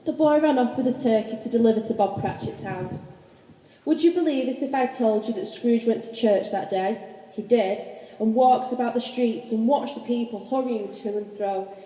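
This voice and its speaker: Very mellow woman narrator, echoey hall. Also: on the high side, moderate pace. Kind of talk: storybook narration of a radio play. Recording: echoey hall, long reverb.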